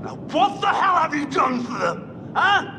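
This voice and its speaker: A man's voice: very high voice, big pitch swings, measured pace, background noise, full character voice. An actor playing an outraged, unhinged middle-aged man who rants accusingly in a horror game. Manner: ranting, accusatory. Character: outraged, unhinged psychiatrist berating a tormentor. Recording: long echoing hall, music behind.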